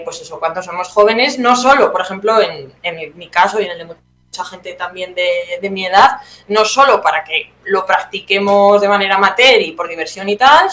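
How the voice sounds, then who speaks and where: A woman's voice: mid-range voice, a bit bright. Spanish woman in her thirties, roomy sound. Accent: Spanish, from Spain. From Teruel, Spain. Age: 30s. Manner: conversational, reflective, often checks with tag questions. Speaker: interview guest, playwright. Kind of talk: casual spoken interview.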